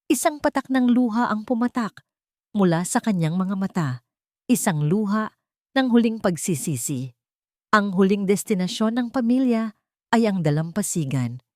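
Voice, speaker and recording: A woman narrating a scripted Tagalog romance story in a measured, gently emotive reading. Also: mid-range voice, no echo, clean studio sound.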